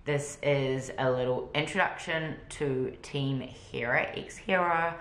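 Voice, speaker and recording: A woman's voice: very deep voice, slow pace, fairly bright tone, roomy sound. A woman hosting her vlog, chatty and a little self-conscious as she introduces the episode. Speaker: vlog host.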